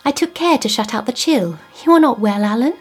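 A woman reading the part of a gentle, devout young woman in an audiobook ghost story, emotional and pleading. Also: a little high, animated, fairly quick, breathy edge, soft background music.

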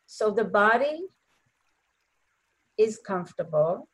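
A woman leading a guided meditation in a gentle, soothing manner, leaving long pauses between short phrases. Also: medium pitch, sing-song, breathy edge, poor audio.

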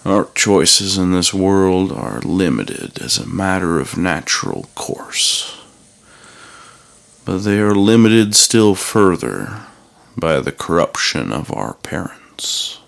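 A man doing a hushed, gravelly old stranger. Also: low voice, lively intonation, full character voice. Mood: musing. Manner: slow, hushed, drawn-out speech, dropping to a whisper.

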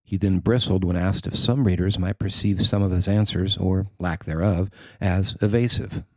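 A man who works as a newspaper reporter, reading his own article as scripted narration in a measured, formal way. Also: deep voice, fairly even intonation, dry recording.

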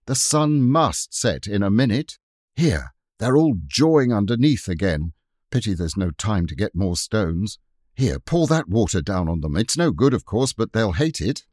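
A man's voice: fairly low pitch, sing-song, measured pace, darkish tone, no room sound, clean studio sound. A man voicing a fierce, defiant young boy in a storybook. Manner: eager, defiant.

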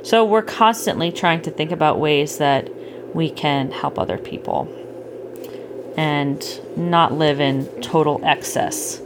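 A middle-aged woman chatting casually with a child at bedtime, gently explaining things and checking in with questions. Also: deep voice, slow pace. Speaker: parent hosting a bedtime story podcast. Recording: clearly noisy home recording.

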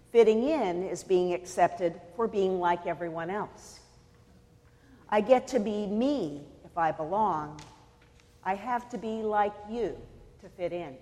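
A woman from California preaching a sermon in a measured, deliberate way, pausing for emphasis. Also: mid-range voice, darkish tone, in a large hall. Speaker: pastor.

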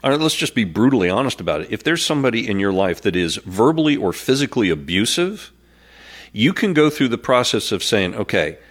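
Nasal low-voiced man with a cold. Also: sing-song, brisk. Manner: earnest, playful, slips into mock voices. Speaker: podcast co-host. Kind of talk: casual faith-based chat.

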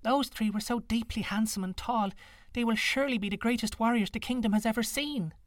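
A man voicing an excitable, tearful young girl with a high, gushing voice. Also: a little fast, dry recording, studio quality.

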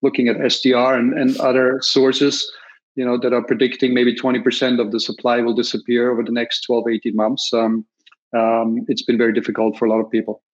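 A middle-aged man with an Austrian accent, talking through industry questions in a measured, optimistic way, with plenty of ums. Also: medium pitch, monotone. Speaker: podcast host and industry guest. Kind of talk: conversational interview answers. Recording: slightly dull lo-fi audio.

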